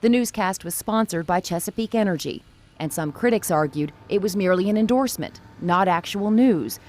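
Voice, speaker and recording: Woman, middle-aged, news reporter, scripted narration, medium pitch. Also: animated, a little fast, darkish tone.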